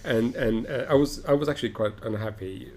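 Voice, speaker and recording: A man's voice: medium pitch, a little fast, warm tone. A middle-aged man from Morocco, an opera director, answers interview questions thoughtfully, with frequent restarts and fillers.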